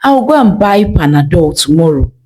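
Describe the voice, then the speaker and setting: Casting: female narrator as a young seamstress, stubborn and dismissive, very expressive pitch, folktale storytelling. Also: moderate pace, a little hiss or hum. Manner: casual, dismissive reassurances. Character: laid-back, stubborn young tailor woman brushing everyone off. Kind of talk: storytelling character voice.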